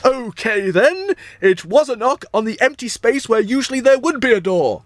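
A man's voice: very high-pitched, big pitch swings, moderate pace, dry recording, clean studio sound. A man voicing a panicky, eccentric mad scientist in a comedy audio sketch, melodramatic and exclamatory. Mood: explaining.

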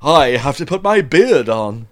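A man's voice: mid-range voice, big pitch swings, measured pace. A man voicing a greedy, scheming mad doctor with a theatrical, smug manner. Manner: theatrical and sing-song, smug.

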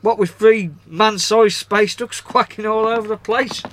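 A man's voice: high-pitched, steady tone, measured pace, over loud music. A man playing a grumpy, sarcastic companion in a comedy audio sketch, sardonic and scolding. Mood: impatient.

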